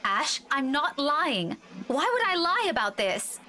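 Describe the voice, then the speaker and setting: A woman's voice: sits high, sing-song, a little slow, pushed and bright, a little hiss or hum, exaggerated voice. A woman playing a worried, insistent young woman in a horror visual novel game. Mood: uneasy, earnest.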